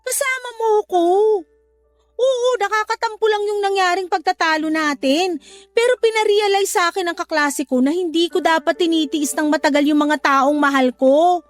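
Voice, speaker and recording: A sharp-tongued, very high-voiced younger sister. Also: female voice, normal speed. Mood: reassuring. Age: young adult. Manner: blunt, scolding, then tender and reassuring. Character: outspoken loyal younger sister, young woman. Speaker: younger sister and letter sender. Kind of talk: radio drama acting and letter narration. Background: soft music under dialogue, louder music under narration.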